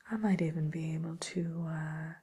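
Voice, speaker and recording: A woman playing a gossipy, mildly menacing witch in a whispered ASMR roleplay, speaking in a breathy, hesitant, musing way. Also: deep voice, fairly even intonation, normal speed. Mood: sly, bargaining. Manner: hesitant, musing, softly sly.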